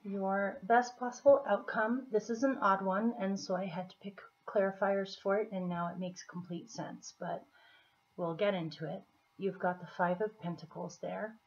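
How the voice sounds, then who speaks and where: A woman with a slightly hoarse, scratchy throat, giving a casual tarot reading in an unhurried, deliberate manner with pauses as she explains the cards. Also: medium pitch, steady tone. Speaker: tarot reader. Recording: dull, muffled lo-fi audio.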